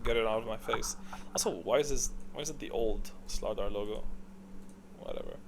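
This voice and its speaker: A man's voice: fairly low pitch, fairly quick, a little hiss or hum. A man giving casual, opinionated tier-list commentary as a gaming video creator, musing aloud and questioning himself as he goes.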